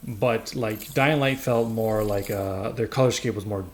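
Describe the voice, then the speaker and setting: A man's voice: fairly low pitch, unhurried. A man casually commentating over his gameplay, rambling and chatty with lots of fillers. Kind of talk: casual let's-play commentary.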